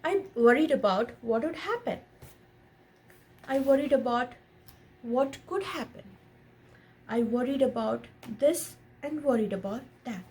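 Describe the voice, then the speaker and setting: A woman reading a picture book aloud in an expressive storytelling style, pausing to explain and ask questions of a child and viewers. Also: on the high side. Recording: noticeable background noise.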